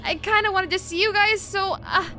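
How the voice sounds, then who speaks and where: A woman's voice: extremely high pitch, steady tone, moderate pace, sharp tone, soft background music. A woman playing a nervous, bookish, overworked young researcher in an audio drama, stammering and using wordy, formal vocabulary. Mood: apologetic, rambling.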